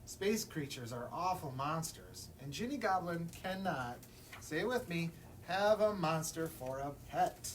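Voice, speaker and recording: A man's voice: on the high side, expressive, a little slow. A man reading a children's picture book aloud as a librarian at story time, expressively, putting questions to young listeners. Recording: distant mic, room noise.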